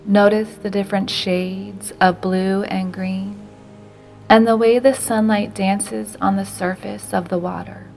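Gentle woman guiding a meditation over music. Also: medium pitch, little pitch movement. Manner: slow, unhurried, gentle delivery with soft breathy phrase endings. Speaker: meditation guide. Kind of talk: guided meditation narration.

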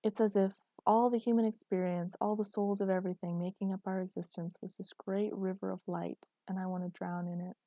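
A woman's voice: medium pitch, flat delivery, a little fast, hardly any pauses, dark tone, no echo, clean studio sound, lightly acted. A woman voicing a headstrong, dreamy and defiant woman with naive candour in a dramatic story reading. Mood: yearning.

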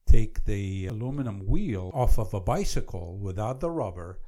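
A man's voice: fairly low pitch, animated, normal speed, mellow. A man from Iraq with an Iraqi accent, discussing a book conversationally and explaining things with some repetition.

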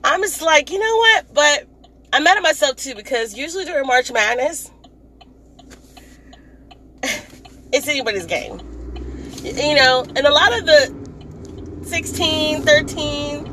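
A woman with a hoarse, raspy voice, chatting casually as she drives to work and often laughing and clearing her throat. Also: sits high, noisy background. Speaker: vlogger. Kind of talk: casual chat while driving. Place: in a car on a commute.

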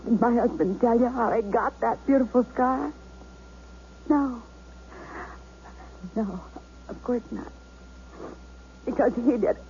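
An actress playing a policeman's battered, desperate wife held hostage, in intense 1970s radio drama style with emphatic pauses. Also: on the high side, warm tone. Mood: bitter. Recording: dull, faintly noisy 1970s radio recording.

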